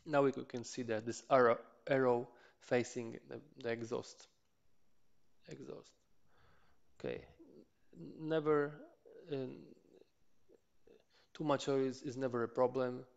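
Man, 30s, Polish native speaker, slow halting tutorial talk, lapel mic, dull lo-fi sound. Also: mid-range voice, fairly even intonation, vocal fry. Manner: slow and hesitant, many filler sounds and restarts. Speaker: motorcycle mechanic channel host. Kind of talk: hands-on repair tutorial. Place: cluttered home workshop.

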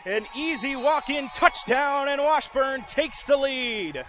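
A man with a high-pitched voice calls live football on the radio. He is excitable, moving from steady descriptions to shouted calls. The broadcast comes from a stadium outdoors, with clear background noise. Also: slow pace. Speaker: radio play-by-play football commentator. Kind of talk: live sports radio play-by-play commentary.

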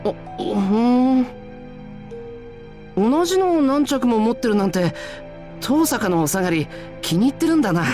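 Animated, awkward teenage boy. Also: very high voice, measured pace, pauses often, heavily acted. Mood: flustered. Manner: awkward, evasive. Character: earnest, flustered teenage boy covering up awkwardly. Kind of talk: Japanese anime-style game voice acting. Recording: loud background music under voice.

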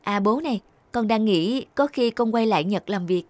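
A woman's voice: mid-range voice, normal speed, noisy background, lightly acted. A young woman playing an earnest grown daughter back from studying abroad in a cartoon. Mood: matter-of-fact. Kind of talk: Vietnamese cartoon dubbing.

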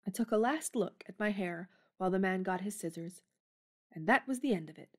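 A woman's voice: medium pitch, lively intonation, brisk, natural voice. A woman playing a blunt, spirited young woman with a headstrong temper. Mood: defiant.

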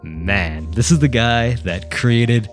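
A man's voice: medium pitch, lively intonation, takes it slowly. A man in his twenties, a native French speaker, giving casual, jokey anime commentary full of slangy, self-deprecating asides into a close microphone. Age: 20s. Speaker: anime YouTuber. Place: small indoor room studio. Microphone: close desk microphone. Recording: faint music behind. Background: faint music.

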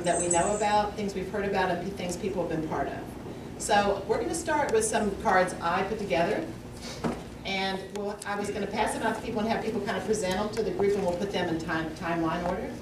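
A woman's voice: medium pitch, brisk. An older woman leading a workshop in a large lecture hall, explaining the plan step by step in a friendly way. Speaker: workshop facilitator. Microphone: far camera. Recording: echoey lecture hall from far off, noisy.

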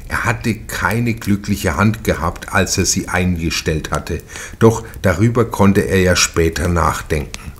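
Man, low voice, scripted literary narration, measured, deliberate, crime audiobook. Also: fairly bright tone, slight background noise.

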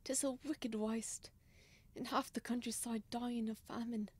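A woman's voice: on the high side, steady tone, a little slow, slightly rough. A woman playing a pious, timid, kindly old male servant, lamenting in old-fashioned radio drama style.

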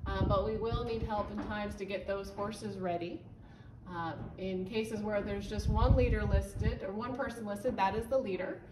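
A woman's voice: mid-range voice, heavy background noise. A woman in her thirties explaining a board to volunteers in a barn office, pointing as she talks, with frequent ums. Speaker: volunteer trainer. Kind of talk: instructional walkthrough. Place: indoor barn office with a wood-paneled wall. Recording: roomy, slightly distant sound with faint ambience.

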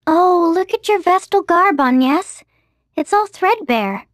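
A woman's voice: extremely high pitch, animated, slow, no room sound, clean studio sound, heavily acted. A woman voicing a chatty, practical young female adventurer in a video game. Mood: matter-of-fact. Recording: loud music under the voice.

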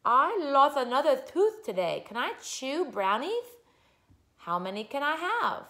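A woman's voice: high-pitched, big pitch swings, unhurried, sharp tone, voice pushed up, full character voice. A woman voicing a cheeky, boisterous schoolchild in a storybook, blurting things out eagerly and teasingly. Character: boisterous schoolchildren siblings, aged six to eleven, cheeky, teasing.

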